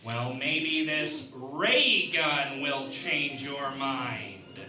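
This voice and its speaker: A man playing a haughty, scheming alien ambassador in a parody sci-fi radio play, speaking in a theatrical, deliberate manner. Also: mid-range voice, animated, echoey room, music in the background. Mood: menacing.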